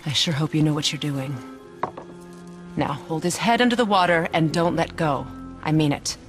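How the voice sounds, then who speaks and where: A woman's voice: deep voice, expressive, normal speed, soft background music. An actress playing a proud, protective witch goddess in a video game, speaking with firm, dry, weary authority. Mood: focused, commanding. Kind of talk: cinematic video game acting.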